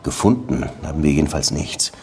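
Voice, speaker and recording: Very deep-voiced, dry police detective. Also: male voice, expressive, a little fast, dark tone. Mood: insistent. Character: world-weary male Cuban police detective, dogged but courteous. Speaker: lead detective and first-person narrator. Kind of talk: radio drama dialogue and narration.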